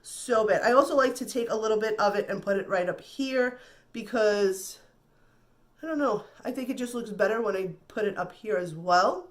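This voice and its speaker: A woman with a slightly nasal voice, getting over the flu, chatting casually and reassuringly through a makeup tutorial. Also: on the high side. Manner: chatty and reassuring, repeats words. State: recovering from flu. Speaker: beauty vlogger.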